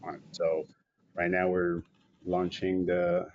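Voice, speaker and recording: Low-voiced man presenting over lo-fi video call. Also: completely flat delivery, slow pace, a little hiss or hum. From Puerto Rico. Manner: informal, with many fillers and restarts. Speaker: company president. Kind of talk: presentation at an online meeting.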